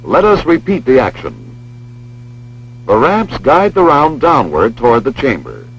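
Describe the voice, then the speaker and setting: An American man reading scripted instructional narration for a training film in a measured, precise, formal manner. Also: mid-range voice, huge pitch swings. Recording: dull lo-fi film soundtrack, humming noise.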